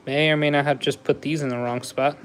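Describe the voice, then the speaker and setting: A man's voice: a little high, steady tone, brisk, a little hiss or hum. A man chatting casually with viewers while building a Lego set on a livestream, easygoing and laughing often. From USA. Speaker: livestream host.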